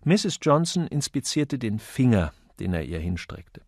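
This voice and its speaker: Guy reading a novel aloud, lively pitch movement, gravelly, literary narration. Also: a little slow, no echo, studio quality. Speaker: audiobook narrator. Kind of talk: expressive scripted literary narration.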